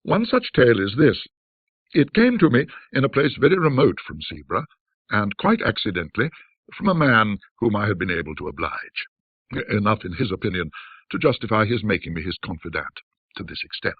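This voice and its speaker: Husky, low-pitched male storyteller. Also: big pitch swings, a little fast, no echo, narrow, tinny audio. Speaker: narrator. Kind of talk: scripted ghost story narration in classic British storytelling manner.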